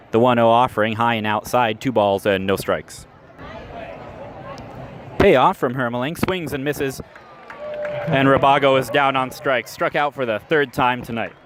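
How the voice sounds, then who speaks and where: A man with an American accent calling baseball play-by-play live for radio. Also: medium pitch. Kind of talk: live radio sports commentary. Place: outdoor minor league ballpark. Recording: outdoor ballpark, crowd and background noise. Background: stadium crowd and ambient noise.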